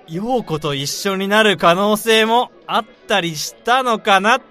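A man's voice: high-pitched, a little slow, bright, forceful tone, soft background music. An actor playing a sulky, whiny, lovelorn young man in a radio drama, with blunt, casual, exclamatory speech. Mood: musing, awkward.

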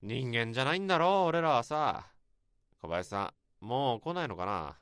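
An actor playing a blunt, rough-talking senior male office worker in a radio drama. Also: medium pitch, expressive, normal speed, fairly bright tone, no room sound, clean studio sound, close to an everyday voice. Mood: grumbling. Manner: blunt, gruff casual speech.

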